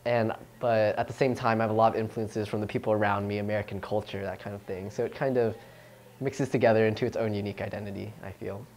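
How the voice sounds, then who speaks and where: Casual young man, low voice. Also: fairly even intonation, quick pace, darkish tone. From California. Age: young adult. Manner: casual, thinks aloud with "like" and "you know". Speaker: student interviewee. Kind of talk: unscripted reflective answers.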